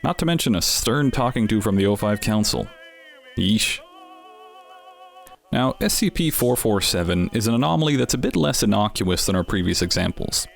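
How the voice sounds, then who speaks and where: A man's voice: on the low side, creaky. A man giving scripted narration as the host of an explainer series, with wry, dryly humorous asides.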